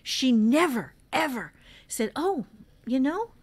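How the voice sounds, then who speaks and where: A woman's voice: high-pitched, very animated, takes it slowly. A woman co-hosting a talk on fiction craft, explaining things in an emphatic, conversational way. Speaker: podcast co-host and novelist giving writing advice. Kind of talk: conversational writing advice.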